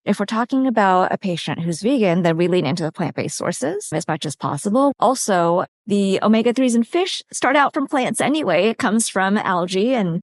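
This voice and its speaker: A woman's voice: mid-range voice, expressive, moderate pace, hardly any pauses, no room sound, clean studio sound. A woman from California, a dietitian on a podcast, explaining food and nutrition in a practical, step-by-step way. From California, USA. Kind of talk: conversational expert explanation.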